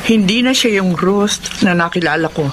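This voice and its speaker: A woman's voice: medium pitch, normal speed, natural voice. Female actor, Filipino radio drama, older protective mother, motherly admonishing, concerned, noticeable noise. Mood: protective, hurt. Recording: clearly noisy radio drama audio.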